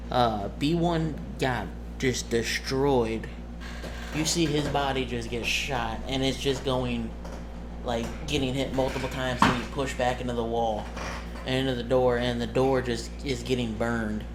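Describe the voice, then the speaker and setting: Slow-paced man narrating a tabletop game, noisy recording. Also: mid-range voice. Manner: halting, muttering through dice sums. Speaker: game master. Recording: clearly noisy background.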